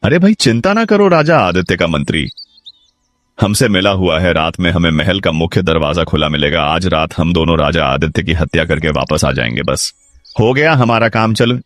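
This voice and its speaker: A man playing a confident villainous soldier plotting murder in a cartoon moral story, brisk and conspiratorial. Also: low voice, lively intonation, fairly bright tone, no echo, soft background music.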